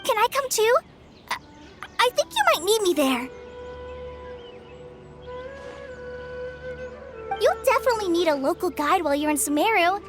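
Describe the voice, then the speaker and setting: A woman's voice: extremely high pitch, animated, a little fast, over music. An actress playing a shy, earnest young woman forest ranger who hesitates and stammers, in a game. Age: young adult. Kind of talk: game voice acting.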